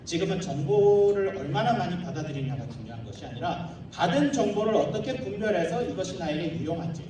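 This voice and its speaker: A man delivers a slide talk as a school representative, in a slightly high voice and at a rapid pace. He explains point by point and slips in informal, humorous asides. The sound is heavily reverberant, with clear noise around him. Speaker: school representative presenting at an admissions briefing. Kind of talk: formal slide presentation to a live audience. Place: large echoey room, live audience. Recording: roomy echoing hall, distant mic, clear noise.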